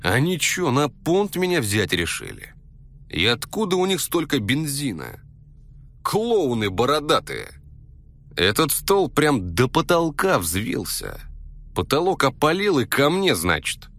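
A man's voice: mid-range voice, wildly swooping pitch, measured pace, fairly bright tone, faint music behind. A man narrating a comic first-person story in coarse, slangy street language.

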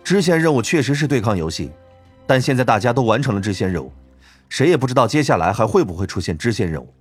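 A man voicing a cool, wary male game player in an audiobook, speaking in a cold, measured manner. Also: mid-range voice, big pitch swings, slightly rough, faint music behind. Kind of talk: audiobook character dialogue.

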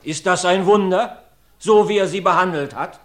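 A man's voice: high-pitched, normal speed, a bit bright. An actor playing a talkative rustic farmer who rambles through his testimony in a radio play. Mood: folksy. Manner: folksy, rambling storyteller. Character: rustic male farmer, chatty court witness. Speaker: witness in a courtroom. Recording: roomy studio.

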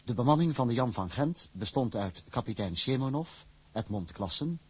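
A man announcing in a measured, formal way, reading scripted credits. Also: mid-range voice, lively intonation, breathy edge.

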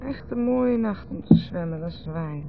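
An actress with a Netherlands Dutch accent playing a dreamy, teasing wife who rambles and repeats herself in a radio drama. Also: female voice, on the low side, lively intonation, normal speed, vocal fry, background noise. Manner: rambling, repetitive, musing. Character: dreamy, rambling, teasing wife, drinking under a full moon. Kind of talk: 1970s radio drama acting. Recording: dull old radio recording with music.

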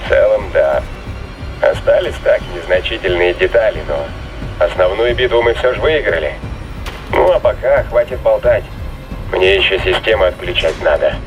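A man's voice: sits low, expressive, normal speed, music in the background, rough recording. An actor playing a ruthless, sardonic man fighting aboard a spaceship in a sci-fi thriller audio drama. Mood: confident. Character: ruthless gloating male spaceship fighter, triumphant, mocking.

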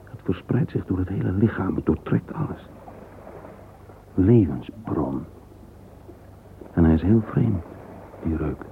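Pensive, sensual middle-aged man in radio monologue. Very low, creaky, halting murmur; muffled phone-like recording. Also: animated, heavily acted. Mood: musing. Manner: halting, murmured thinking aloud. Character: musing middle-aged man, sensual, curious, alone with his thoughts. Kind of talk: radio drama interior monologue. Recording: muffled phone-like old recording, faint hiss.

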